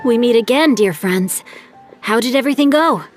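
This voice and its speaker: An actor voicing a courteous, bookish young friend in a video game, with gentle, measured, literary phrasing. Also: medium pitch, animated, faint music behind, lightly acted. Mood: gentle, reflective.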